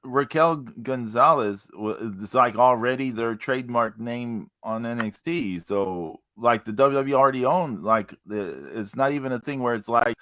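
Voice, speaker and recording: A man with a mid-range voice, recorded dry and close. He talks haltingly in casual podcast chat, peppering his sentences with filler words. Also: medium pitch, a bit bright, no echo. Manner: halting, lots of "like". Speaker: podcast co-host.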